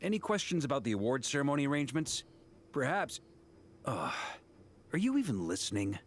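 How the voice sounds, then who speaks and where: Exasperated, highly animated knightly grand master played by a man. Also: a little fast, a little hiss or hum, natural voice. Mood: impatient. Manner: authoritative, weary, theatrically expressive. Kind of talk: video game voice acting.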